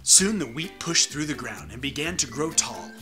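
A man's voice: mid-range voice, big pitch swings, normal speed, over music. A man in his thirties, reading a children's story as a co-host with comic banter. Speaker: co-host and story reader, comedian. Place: small room, indoors, seated on a sofa.

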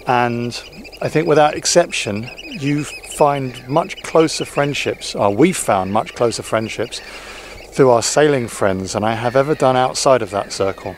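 A man in his forties talking casually to camera outdoors at a boatyard, relaxed and reflective, with frequent fillers and restarts. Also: mid-range voice, a little slow, a little hiss or hum. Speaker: sailing vlogger. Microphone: camera-mounted mic, handheld selfie framing.

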